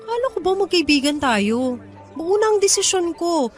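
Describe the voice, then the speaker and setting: A young woman in despair in a radio drama. Her voice is high, plaintive and pleading. She holds stubbornly to what she says while sounding unwanted and hurt. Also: very animated, normal speed, faint music behind. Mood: pleading, desperate. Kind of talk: Tagalog radio drama acting.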